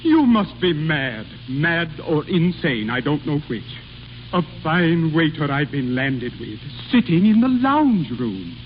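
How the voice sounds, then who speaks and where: A man's voice: fairly high pitch, very animated, slow. A man playing an indignant, sarcastic hotel manager in a 1930s radio comedy, sputtering with exasperation. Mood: outraged. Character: indignant, sarcastic male hotel manager scolding his waiter. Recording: hissy, muffled old 1930s radio broadcast.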